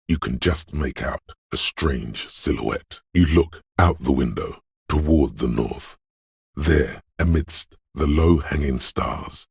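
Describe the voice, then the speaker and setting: A man voicing the inner sense of perception in a video game, narrating what the hero notices in a measured, observant, second-person way. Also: a bass voice, gravelly, breathy edge, no room sound, exaggerated voice. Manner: measured, observant, softly descriptive delivery. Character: the hero's inner sense of perception, male, watchful and calm. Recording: dry close studio recording.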